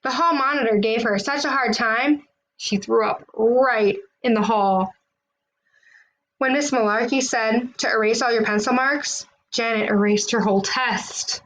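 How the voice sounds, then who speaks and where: A woman's voice: a little high, a little hiss or hum, low-quality recording. A woman reading a children's storybook aloud, narrating expressively in the words of a school kid. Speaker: children's read-aloud host.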